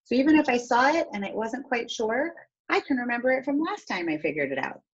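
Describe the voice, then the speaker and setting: A woman teacher reading a storybook aloud and pausing to explain things step by step in an instructive way. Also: a little high, big pitch swings, slightly rough, dull audio.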